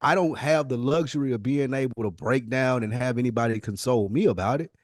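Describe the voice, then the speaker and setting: A man's voice: medium pitch, brisk, dry recording, studio quality. A man co-hosting a podcast, giving his opinions casually in blunt, slangy talk with some swearing. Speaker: podcast co-host.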